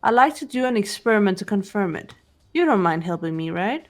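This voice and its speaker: A woman playing a cool, analytical teenage girl detective in a game voice-over, speaking in a measured, serious way. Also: mid-range voice, animated, natural voice. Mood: businesslike. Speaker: amateur voice actor. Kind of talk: fan voice-over of a visual novel game. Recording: game music under the voice.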